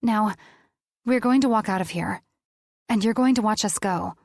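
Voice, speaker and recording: A woman voicing a sarcastic young heroine who talks quickly with wry defiance. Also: medium pitch, vocal fry, no echo, clean studio sound, pitched above the performer's own voice. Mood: defiant.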